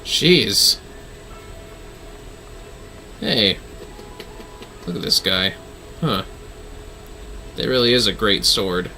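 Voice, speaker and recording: Bright, forceful man livestreaming. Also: lowish voice, animated, very slow, pauses often, faint music behind, poor audio. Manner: apologetic, informal, sweary. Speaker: livestream host playing a video game. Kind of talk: casual livestream chat.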